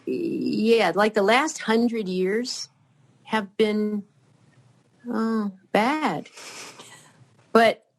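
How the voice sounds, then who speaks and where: Older lady guest, slow unhurried talk, lots of ums and restarts. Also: a little high, steady tone. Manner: rambling, halting, many fillers and restarts. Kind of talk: casual chat.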